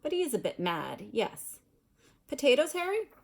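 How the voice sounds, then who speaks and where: A woman's voice: high-pitched, huge pitch swings, normal speed, gravelly, a little mellow, pitched above the performer's own voice, exaggerated voice. A woman voicing an airy, self-assured teenage schoolboy wizard in a storybook. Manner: airy, breezy.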